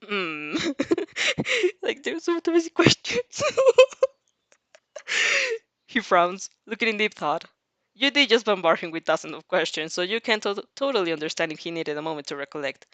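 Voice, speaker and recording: A woman narrating fan fiction aloud with casual reactions, expressive, informal and giggly. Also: mid-range voice, normal speed, pauses often, no echo.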